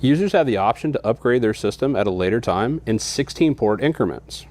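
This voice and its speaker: A man's voice: mid-range voice, animated, a little fast, darkish tone. A man giving a scripted, methodical product walkthrough in an instructional way, as a trainer would.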